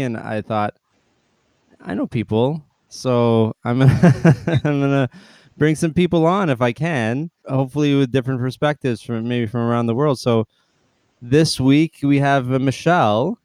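A man hosts a casual podcast interview, talking in a relaxed, rambling way and often restarting his sentences. His voice is smooth and clear, and the recording sounds close and dry. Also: medium pitch, no echo. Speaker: podcast host.

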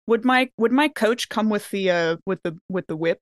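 A woman's voice: mid-range voice, moderate pace, no echo. A woman talking casually as a player in a tabletop roleplaying game. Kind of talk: casual chat.

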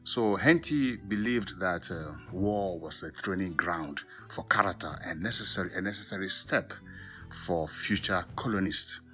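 A man from Ghana and Togo with a West African accent, speaking as a professor in a formal, measured academic talk with self-corrections. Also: low voice, a bit bright, soft background music. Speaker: professor of African literature, podcast guest.

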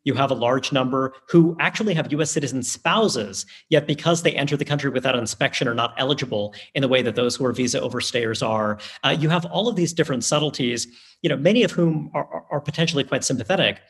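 A man's voice: medium pitch, fast. Analytical man explaining policy detail. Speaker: guest author. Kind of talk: conversational discussion.

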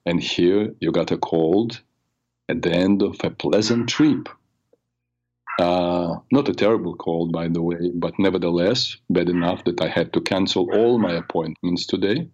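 Raspy older man with a cold. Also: low-pitched, measured pace, highs cut off. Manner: warm, rambling, personal storytelling with many fillers. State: has a cold. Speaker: radio show host. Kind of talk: solo talk show monologue.

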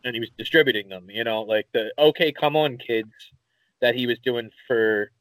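A man with a Philadelphia accent, co-hosting a podcast in casual chat, telling rambling, animated stories and swearing casually. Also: medium pitch, moderate pace, no echo, low-quality recording. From Philadelphia area, USA. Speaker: podcast co-host.

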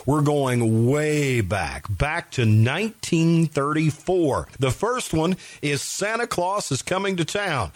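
Man, radio host, casual music introductions, folksy, animated intonation, dry studio sound. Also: fairly high pitch, takes it slowly, few pauses, no room sound.

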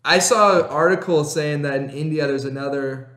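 A young man co-hosting a podcast in casual chat, rambling and excitable, laughing often. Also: on the high side, normal speed. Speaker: podcast co-host. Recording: roomy echo.

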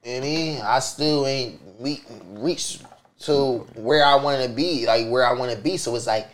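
A rapper in his twenties, a guest on a podcast, telling stories in an animated, laughing, slangy way and repeating himself. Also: medium pitch, moderate pace, poor audio. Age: 20s. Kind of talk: casual storytelling on a podcast.

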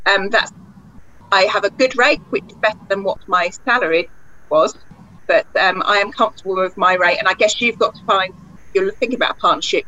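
A woman's voice: mid-range voice, pushed and bright, dull audio. A woman who is a practice manager partner, speaking thoughtfully at a panel discussion and often correcting herself.

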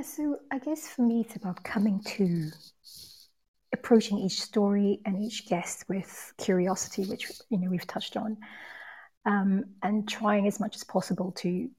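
Slightly husky woman reflecting on a panel. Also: mid-range voice, dark tone, low-quality recording. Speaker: podcast interviewer, panelist. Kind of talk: casual panel talk. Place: remote online live panel.

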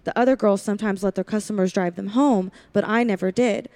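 A woman's voice: mid-range voice, fairly even intonation, fairly quick, darkish tone, no echo, lightly acted. A woman playing a young, lonely drive-in waitress in a 1940s-style radio play, confiding and wary. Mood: wistful, confiding. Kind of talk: 1940s radio play acting, first-person narration.

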